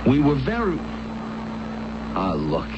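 Casting: pleading, insistent American husband, very animated pitch, noisy vintage radio drama. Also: male voice, takes it slowly. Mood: defensive, reassuring. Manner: stammering, insistent, coaxing. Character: anxious, pleading working-class husband, urgent and coaxing. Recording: dull, noisy old radio recording.

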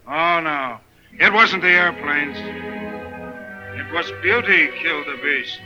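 A solemn showman in an old movie drama speaks slowly in a fairly high voice. The soundtrack is dull and lo-fi, with music behind. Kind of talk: vintage movie drama acting.

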